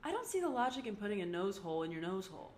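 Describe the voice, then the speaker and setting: A woman voicing a dry-witted seventeen-year-old girl with a deadpan, wry manner. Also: medium pitch, very fast, a little hiss or hum, pitched above the performer's own voice, lightly acted.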